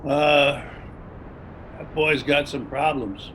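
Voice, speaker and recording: A man's voice: a little high, fairly even intonation, slow pace, fairly bright tone, a little hiss or hum, rough recording. Man playing folksy old widowed survivor. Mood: wry, easygoing. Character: older retired salesman, widowed, folksy survivor. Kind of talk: table-read drama acting. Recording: video-call read over music.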